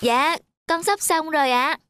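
A woman's voice: high voice, measured pace. A woman voicing a weary, plaintive, obedient little schoolboy in a cartoon. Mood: weary, wistful. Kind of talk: cartoon dubbing.

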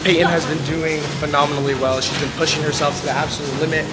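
A man's voice: a little high, little pitch movement, fast talker, poor audio. A young man coaching someone through a workout in a large gym, explaining exercises in an upbeat, teasing way. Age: young adult. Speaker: workout guide. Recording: loud music under the voice.

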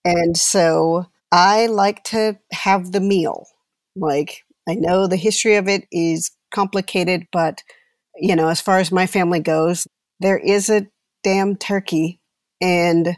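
A woman's voice: lowish voice, dry recording, clean studio sound. A woman guest telling casual anecdotes in a candid, wry, emphatic way. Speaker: actor, panel guest. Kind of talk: casual anecdote in a panel conversation.

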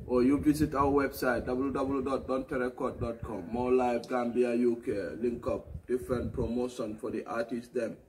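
A man's voice: mid-range voice, flat delivery, moderate pace, few pauses, darkish tone. A man hosting a casual promotional vlog, talking in slangy phrases and repeated catchphrases. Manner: repetitive, slangy Patois phrasing. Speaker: music promoter and vlog host. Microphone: phone camera a few metres away. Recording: noisy, distant phone video audio.

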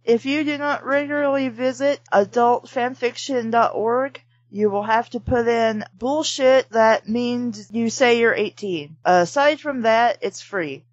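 A woman's voice: a little high, unhurried, hardly any pauses, no echo, dull audio. A woman bantering casually and reading scripts aloud with a group on a voice call. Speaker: member of a voice-acting group doing readings.

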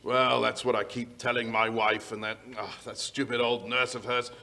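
A man's voice: medium pitch, level tone, moderate pace, creaky, bright, forceful tone. A middle-aged man acting a worried English lord of the manor in old-time radio style, earnest and formal. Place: small indoor stage before an audience. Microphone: vintage-style stand microphone.

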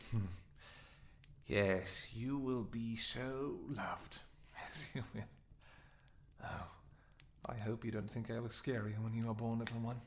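Dude playing a possessive vampire king, medium voice, soft and doting then bossy with his men, faint hiss. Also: mid-range voice, fairly even intonation, slow, pauses often. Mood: tender. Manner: tender and murmuring with his wife, commanding with troops. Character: doting royal vampire king, commanding and fiercely devoted. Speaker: audio roleplay performer. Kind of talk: audio roleplay.

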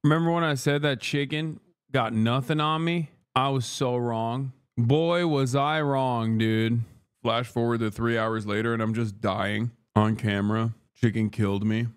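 A man's voice: medium pitch, fairly even intonation, measured pace, clean tone. A man in his thirties, from Turkey, chatting casually and profanely on a livestream while eating, close to a studio microphone in a small room. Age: 30s. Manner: brash, profane, joking, talks with food in mouth. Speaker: streamer. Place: small wood-paneled room, indoors. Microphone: studio mic on boom arm close to face.

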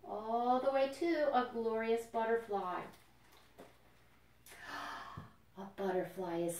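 A woman with a fairly high voice presents a storytime for young children. She explains things simply and expressively, as if talking to little friends. The sound is echoey and a bit distant from the microphone. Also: fairly bright tone, slight background noise. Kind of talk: library storytime read-aloud and nature explaining for young children. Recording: roomy echo, distant microphone.

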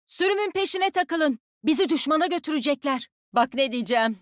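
A woman playing a tough, bossy young soldier who commands animals in a video game, with a brisk, commanding, teasing delivery. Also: high voice, no echo, studio quality. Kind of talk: video game character voice lines.

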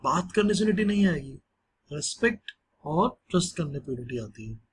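A male teacher explaining a social studies lesson in a relaxed, conversational way, mixing in English phrases. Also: a little high, moderate pace, a little hiss or hum.

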